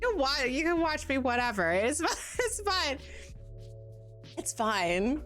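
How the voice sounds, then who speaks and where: A woman's voice: sits high, very animated, a little slow, fairly bright tone, soft background music. A woman streaming a game, chatting casually with her viewers in a bubbly, giggly, self-deprecating way, sounding tired.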